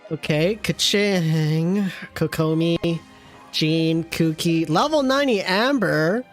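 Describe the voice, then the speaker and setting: A game streamer with a middle-pitched voice talks casually to chat, rambling from one thought to the next and teasing along the way. Faint music plays behind the voice. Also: slow. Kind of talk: casual livestream game commentary.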